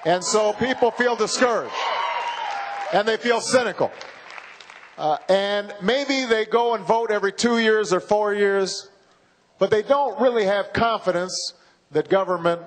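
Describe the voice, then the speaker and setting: A man in his forties giving a campaign speech, slow and halting with frequent pauses and repeated words. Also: sits high, some chatter behind. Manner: halting, measured, with many uhs and repeated words. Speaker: political candidate. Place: large university hall. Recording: reverberant hall from a PA.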